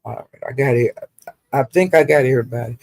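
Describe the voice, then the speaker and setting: A woman's voice: very deep voice, a little fast, creaky, a little mellow, no echo, rough recording. An older woman, chatting casually to live viewers as a livestream host in a folksy, rambling, salty-tongued way.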